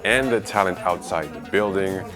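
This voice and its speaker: Male vlogger, low voice, presenting gear reviews over background music. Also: moderate pace. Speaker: video creator and reviewer. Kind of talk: product review vlog narration to viewers.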